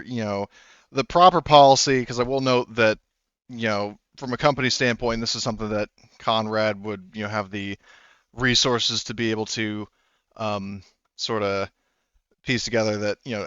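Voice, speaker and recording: Man game master, smooth low voice. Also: a bit bright, no echo, highs cut off. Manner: rambling, explanatory, full of "you know". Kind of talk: narrating a tabletop game.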